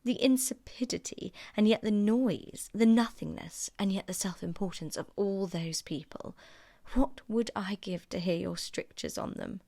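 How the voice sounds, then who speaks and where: A woman's voice: medium pitch, measured pace, creaky, warm tone, dry recording. A woman voicing a haughty young society lady in an audiobook, affected, snide and archly disdainful. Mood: disdainful, bored. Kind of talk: audiobook character acting.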